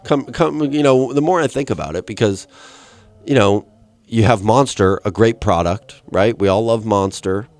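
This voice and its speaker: Young man in his 20s, low voice, dry close mic, profane rambling comic host. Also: normal speed, no echo. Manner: rambling and profane, with deadpan asides. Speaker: podcast host. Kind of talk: solo comedic rant.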